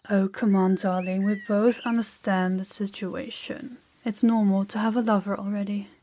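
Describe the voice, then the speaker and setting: Woman voicing a young princess, gentle, candid, wistful, soft-spoken, hesitant, intimate audio drama, medium pitch, background birdsong. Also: slight background noise, natural voice. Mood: gently teasing, kind. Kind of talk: intimate audio roleplay acting.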